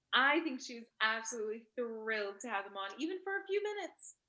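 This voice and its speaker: Actress as a bright, gushing vain socialite, echoey room. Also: female voice, on the high side, animated, normal speed. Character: vain glamorous wealthy socialite being interviewed on television. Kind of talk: staged play reading. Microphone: video call. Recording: roomy video-call audio.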